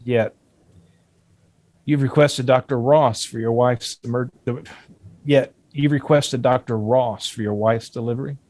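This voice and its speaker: A man at a screenplay table read playing a formal, condescending doctor with veiled menace and a measured, guarded delivery. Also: mid-range voice, steady tone, darkish tone, dry recording, lightly acted. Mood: defensive.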